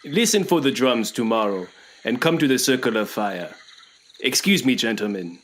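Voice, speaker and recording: A man acting in a radio play as a quietly commanding jungle tribe leader, measured and formal in speech. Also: mid-range voice, lively intonation, lightly acted. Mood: guarded. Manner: measured, formal, sparing with words.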